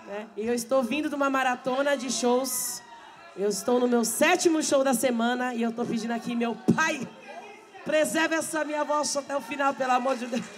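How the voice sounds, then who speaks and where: A middle-aged woman singer, hoarse from many shows, chatting fast and laughing with a live audience through a handheld mic. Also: high voice, a faint crowd. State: hoarse, losing her voice. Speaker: samba singer and show host. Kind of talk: chatty stage talk between songs at a live show. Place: bar stage with a live audience, indoors. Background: live samba band.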